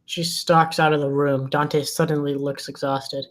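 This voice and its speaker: Narrator for a film table read, medium pitch, scripted scene descriptions and action lines. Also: little pitch movement, measured pace. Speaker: stage direction narrator.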